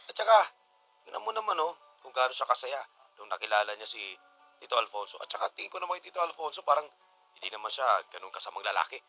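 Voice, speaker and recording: A man with a Filipino accent playing a playful, teasing and excitable grown son in a radio drama. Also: mid-range voice, fast talker, faint music behind. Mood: coaxing, playful. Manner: animated, teasing.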